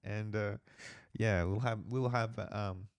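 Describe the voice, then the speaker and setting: A young man, co-hosting a casual podcast chat, playful and quick to laugh. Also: fairly low pitch, steady tone, clear, resonant tone, no room sound, dull audio. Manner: laughs often, playful and upbeat. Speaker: podcast co-host.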